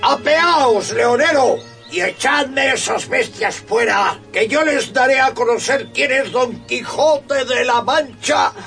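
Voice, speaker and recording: Proud, hot-headed old knight-errant for radio play: very high, slow, declamatory, courtly and deluded. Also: male voice, creaky, pushed and bright, distant mic, faint music behind, full character voice. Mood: furious, defiant. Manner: grandiose, declamatory, archaic courtly speech. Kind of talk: radio drama acting.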